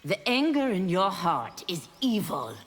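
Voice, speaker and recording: A woman's voice: mid-range voice, sing-song, unhurried. An actress playing a composed, righteous warrior woman in a fighting game, earnest and reproving. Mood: grave, reproving. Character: righteous, composed female warrior, morally firm. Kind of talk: fighting game character dialogue. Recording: reverberant hall, loud background noise.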